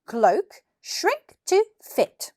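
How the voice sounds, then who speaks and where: Woman voicing an eager, high-pitched young schoolboy. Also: huge pitch swings, very slow, a little mellow, no echo, studio quality, full character voice. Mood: confident, commanding. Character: eager, bold young schoolboy with a magic wand.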